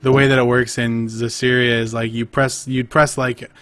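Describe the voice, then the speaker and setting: A man's voice: medium pitch, flat delivery, moderate pace, a bit bright. A man co-hosting a podcast, chatting casually about games over a remote call, rambling with lots of fillers and restarts. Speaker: podcast co-host. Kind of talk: casual gaming chat.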